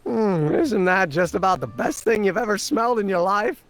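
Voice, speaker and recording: A man's voice: sits high, animated, moderate pace, a bit bright. A man voicing an eager, earnest young amateur scientist in a video game, explaining things precisely and with enthusiasm. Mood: chatty, enthusiastic. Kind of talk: video game character acting.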